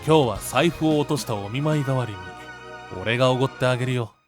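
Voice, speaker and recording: A man playing a kind, dependable high school boy in an anime-style voice drama, gentle and lightly teasing. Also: quick pace, natural voice. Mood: warm, easygoing. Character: kind, dependable high school boy, everyone's big brother, amateur actor. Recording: music behind the voice. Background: background music.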